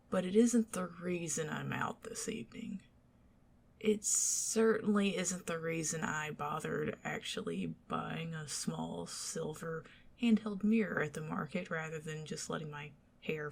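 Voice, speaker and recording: A woman with a Southern accent voicing a shy, affectionate young dragoness on a dinner date, talking softly and often slipping into whispers, joking at her own expense. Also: sits low, vocal fry, husky, heavily acted. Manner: soft, intimate, drifting into whispers; self-deprecating, joking, flustered. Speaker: ASMR performer. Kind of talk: ASMR roleplay.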